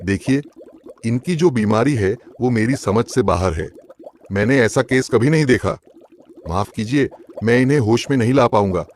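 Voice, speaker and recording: A grave, apologetic undersea doctor from a cartoon fairy tale, voiced by a man. He speaks formally at a middling pitch, with faint ambient noise behind him. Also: moderate pace, darkish tone, natural voice. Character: apologetic, baffled male sea doctor. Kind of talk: Hindi cartoon moral story acting.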